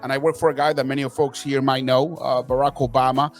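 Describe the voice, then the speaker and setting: A man's voice: medium pitch, level tone, fast, soft background music. A man in his thirties from Venezuela, talking as a podcast guest in an emphatic, upbeat, motivational way. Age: 30s. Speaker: podcast guest, marketing consultant. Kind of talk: casual interview talk.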